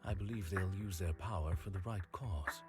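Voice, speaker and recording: Game butler type male actor: very low voice, polite and composed, clear music underneath. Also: normal speed, natural voice. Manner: polite, formal. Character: courteous, composed male attendant and protector.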